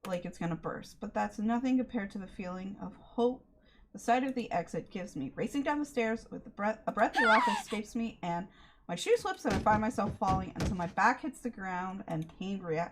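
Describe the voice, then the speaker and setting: A woman's voice: medium pitch, animated, brisk, a little hiss or hum, rough recording. A woman livestreamer reading a visual novel aloud expressively, sometimes stumbling over lines and chatting between passages. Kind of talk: dramatic read-aloud mixed with livestream chat.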